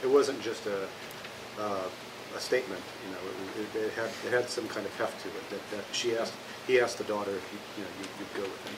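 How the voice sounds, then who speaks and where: A lower-voiced man in a study group stumbles through his point with repeated restarts. He is picked up from well away from the mic, with clear background noise around him. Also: steady tone. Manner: halting, many restarts. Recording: distant from the mic with room noise.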